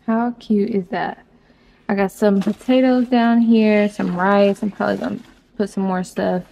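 Slow-talking chatty young woman vlogger. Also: medium pitch, fairly even intonation, vocal fry, dark tone, rough recording. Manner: chatty, playful, slangy. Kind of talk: casual vlog chat. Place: apartment kitchen indoors.

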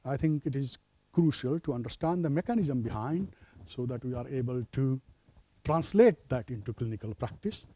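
Mellow-voiced man lecturing, from Nepal. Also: medium pitch, animated, husky. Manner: measured, hesitant with fillers. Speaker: professor of obstetrics. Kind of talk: academic lecture. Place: lecture room with a projector.

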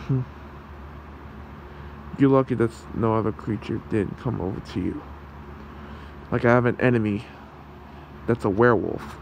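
Guy doing a kind fox creature for asmr, creaky voice, soothing and protective, bit of background hum. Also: lowish voice, rough recording. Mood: tender, reassuring, then cautioning. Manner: soothing, reassuring, halting. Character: gentle, protective male fox creature caring for someone. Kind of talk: ASMR roleplay, soft comforting talk.

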